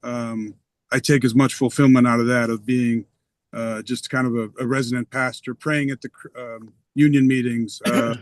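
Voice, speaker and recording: A middle-aged man, a retired umpire and pastor, talking softly and earnestly in a reflective conversation with many pauses and ums. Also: medium pitch, level tone, no echo. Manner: soft-spoken and earnest, with frequent ums.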